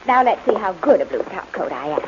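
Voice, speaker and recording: An actress playing an affectionate, teasing American wife who worries, in a 1940s radio drama. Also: female voice, medium pitch, sing-song, fairly quick, natural voice. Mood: playful, affectionate. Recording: noisy, muffled 1940s radio recording.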